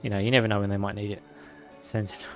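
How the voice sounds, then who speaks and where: A man streaming a game, reading lore aloud with sarcastic asides, his voice going hoarse and a little out of breath. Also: lowish voice, flat delivery, fast, fairly bright tone. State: slightly out of breath. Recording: faint music behind.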